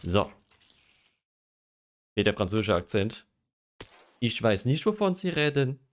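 A man's voice: fairly low pitch, big pitch swings, measured pace. A young man streaming a game, chatting casually and joking while he reads quiz questions aloud and muses over his answers. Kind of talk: casual livestream chat while gaming.

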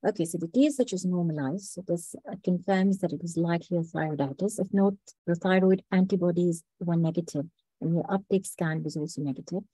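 A woman with a hoarse, mellow voice gives a methodical medical talk over a laptop mic on a video call. She speaks steadily, pausing often with small fillers. The sound is dry and close. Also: lowish voice, no room sound, rough recording. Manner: measured, methodical, frequent "uh" fillers. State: hoarse, recovering from flu. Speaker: clinical research fellow presenting a case. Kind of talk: online medical lecture.

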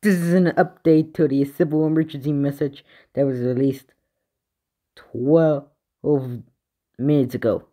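A man delivering an improvised mock emergency broadcast announcement, haltingly, stumbling over words and correcting himself. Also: a little high, steady tone, mellow. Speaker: narrator of fictional emergency alerts.

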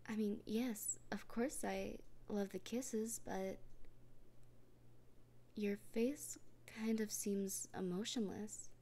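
Woman, whispered comfort roleplay, gentle and reassuring, slow, dark warm tone. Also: medium pitch, steady tone. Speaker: roleplay performer speaking as a partner.

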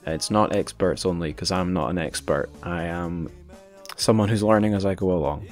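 A man with a notably low voice hosts a casual podcast. He rambles informally and puts himself down a little as he goes. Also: moderate pace, soft background music. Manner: informal, self-deprecating, rambling. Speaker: podcast host.

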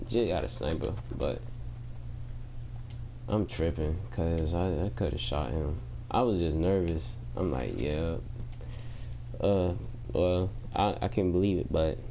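A tired young man with a gravelly voice, chatting casually to his viewers in laid-back slang while commenting on his gameplay. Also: deep voice, slow pace, long pauses, dark tone, a little hiss or hum. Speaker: gaming channel host. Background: game music.

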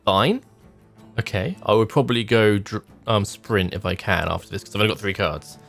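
A man's voice: lowish voice, normal speed, creaky, a bit bright. A man in his thirties giving casual gameplay commentary as a streamer, thinking aloud in short, musing remarks. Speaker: game streamer. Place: small home room, indoors. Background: background music.

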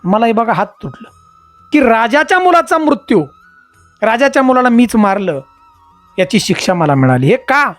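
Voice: mid-range voice, big pitch swings, moderate pace, long pauses, dry recording, faint music behind, natural voice. A storyteller voicing a devout, aggrieved Brahmin man, pleading and challenging with questions.

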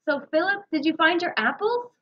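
A woman voicing an excitable, puzzled boy at summer camp in a storybook, urgent and questioning. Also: very high-pitched, normal speed, dull audio, pitched above the performer's own voice. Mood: curious, questioning.

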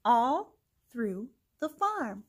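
A young adult woman hosting a children's read-along, reading a picture book aloud in an exaggerated, animated manner for small children. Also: a little high, drawn-out words, husky. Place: small indoor room with bookshelf.

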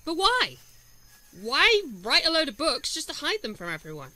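A woman's voice: sits high, huge pitch swings, moderate pace, sharp tone, exaggerated voice. An actress playing a sceptical young woman companion who teases and questions in a fan audio drama. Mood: wary. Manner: wry, teasing, questioning.

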